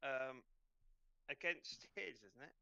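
A man's voice: medium pitch, animated, slow, vocal fry, sharp tone, dry recording, dull audio. A man running an online tabletop roleplaying game as game master, narrating in a hesitant, conversational way.

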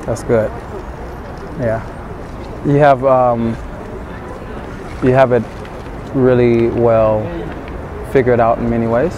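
A young man talks on a busy city square, mostly in a low and unhurried voice, and he turns livelier when he gives advice. He is picked up from a distance, and loud traffic and passing crowds run underneath. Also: flat delivery, muffled recording. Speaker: dating coach. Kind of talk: street pickup talk and to-camera advice. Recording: street noise and traffic behind.

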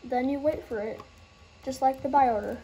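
A woman explaining a game trading method in a casual, halting way, losing her train of thought and starting over. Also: sits high, warm tone, slight background noise, low-quality recording. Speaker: gaming tutorial host.

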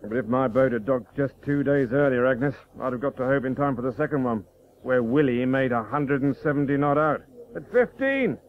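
An actor playing a proud, rueful middle-aged country doctor and cricketer in a radio play, with a measured manner. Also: male voice, mid-range voice, close to an everyday voice. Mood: proud, wistful. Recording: tinny radio broadcast, crowd behind.

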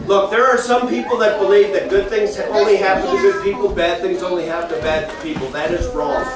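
A middle-aged man gives a children's Bible lesson in a lively way, repeating his points and speaking right to the kids. His voice sits a little high for a man. He is picked up from across a big echoing room, with children murmuring around him. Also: brisk, crowd noise. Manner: animated, repeats phrases, talks to kids directly. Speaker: children's church teacher. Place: large classroom with kids seated. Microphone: far camera, no mic seen. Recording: echoey room from far off, kids chattering.

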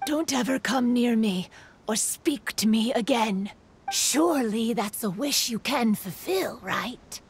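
Haughty game yokai: cold, unhurried delivery, fairly high voice with dramatic swings in pitch. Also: female voice, a bit bright, slight background noise, exaggerated voice. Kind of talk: video game character acting.

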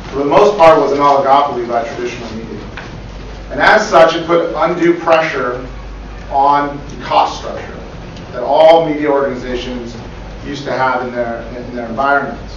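A middle-aged man with a loud voice giving a business presentation, with frequent ums, heard from across a conference room. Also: medium pitch, slight background noise. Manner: loud, says so himself, with many ums. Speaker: startup co-founder and guest speaker. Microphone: far room camera mic. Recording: echoey room from far off, dull lo-fi.

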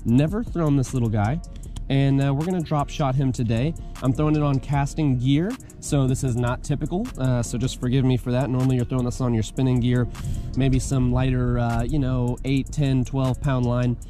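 A man's voice: medium pitch, steady tone, normal speed, mellow. A man with an American accent hosting a casual outdoor fishing vlog, chatting to viewers in colloquial, folksy slang. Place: outdoors by a pond. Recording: music laid over speech. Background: music.

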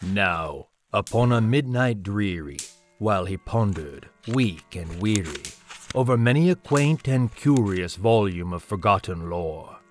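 An actor playing a solemn, brooding narrator of a gothic poem in an audio drama, measured and theatrical. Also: male voice, fairly low pitch, animated, faint music behind. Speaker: story narrator.

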